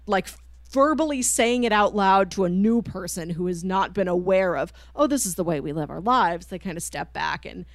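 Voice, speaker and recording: A woman's voice: on the low side, animated, moderate pace, no room sound, clean studio sound. A woman co-hosting a podcast, chatting casually and emphatically about a book. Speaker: podcast co-host. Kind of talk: casual book discussion chat.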